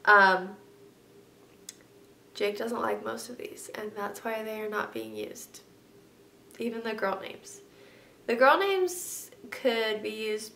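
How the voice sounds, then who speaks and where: A young woman talks to her camera in a loose, rambling way, full of ums and likes. Her nose is stuffy, so her voice sounds congested. The room is echoey, with a faint low rumble underneath. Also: creaky, bright, forceful tone. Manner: chatty, many ums and likes. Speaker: vlogger. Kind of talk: casual sit-down vlog chat.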